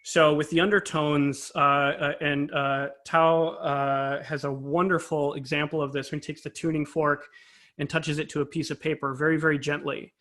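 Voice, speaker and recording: A man with a fairly high voice speaks as an interview guest. He explains technical matters informally and analytically, taking care over exact wording. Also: level tone, normal speed, fairly bright tone. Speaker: interview guest, composer and conductor.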